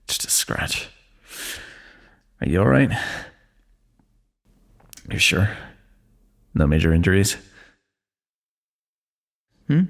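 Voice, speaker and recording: A man voicing a wry, wounded fantasy ruler who teases an old comrade in a calm, dry way, in an ASMR roleplay. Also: lowish voice, gravelly, exaggerated voice. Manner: dry, teasing, calm understatement.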